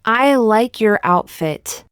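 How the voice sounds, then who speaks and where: A woman reading short practice sentences aloud for learners, saying each one twice, slowly and carefully. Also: on the high side, lively intonation, a bit bright, clear, resonant tone, dry recording, studio quality. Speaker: English teacher.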